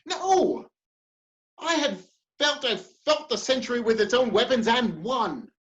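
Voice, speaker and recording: Woman as ambitious male politician, defiant, theatrical stage drama delivery, very animated pitch, dull lo-fi recording. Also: measured pace. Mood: defiant, proud. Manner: theatrical, impassioned, emphatic. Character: proud ambitious male politician, defiant under threat of ruin. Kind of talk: dramatic reading of a stage play.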